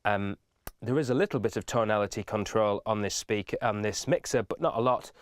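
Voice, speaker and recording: A man's voice: lowish voice, brisk, no room sound. Man giving methodical tutorial, dry close sound. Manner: methodical, instructive. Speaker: instructor. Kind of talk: informal step-by-step video tutorial.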